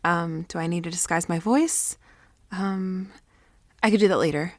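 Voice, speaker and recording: A woman playing an excitable, self-important teenage girl who rambles dramatically through a confessional audio diary. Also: lowish voice, normal speed, no room sound, studio quality, natural voice. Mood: chatty, self-important. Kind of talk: confessional audio diary monologue, naturalistic acting.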